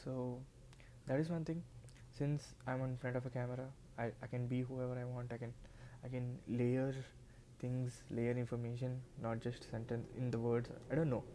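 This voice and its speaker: A man's voice: mid-range voice, little pitch movement, moderate pace, dark tone, a little hiss or hum, low-quality recording. A man in his twenties whose first language is Telugu, talking unscripted to a camera in a hesitant way, with many restarts and repeated words. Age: 20s. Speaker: vlogger.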